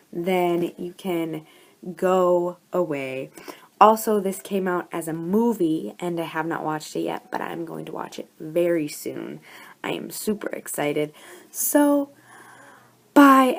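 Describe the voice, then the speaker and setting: Hoarse, gushing low-voiced woman. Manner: gushing, chatty, lots of "like" fillers. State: hoarse from a cold. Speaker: book reviewer. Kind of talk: casual book review vlog. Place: at home.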